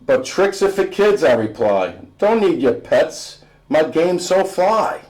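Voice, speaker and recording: A man's voice: fairly high pitch, very animated, takes it slowly, a little mellow, voice pushed up, rough recording. Cocky American teenage boy athlete read by a man, boastful rhythmic verse for a storybook. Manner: rhythmic, punchy rhyming delivery. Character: cocky teenage boy basketball player, rhyming braggart.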